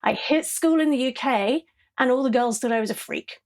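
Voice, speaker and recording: Animated English woman, higher voice. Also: moderate pace. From southeast England. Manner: animated, laughs often, tags sentences with "yeah?". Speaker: podcast guest. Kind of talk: conversational storytelling interview.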